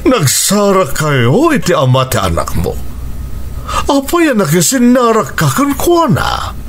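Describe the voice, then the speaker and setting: A man's voice: high-pitched, huge pitch swings, measured pace, slight background noise, heavily acted. A man with an Ilocano accent acting an earnest, emotional male figure in a radio family drama. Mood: concerned. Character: men in family melodrama: pleading ex-lover, concerned uncle.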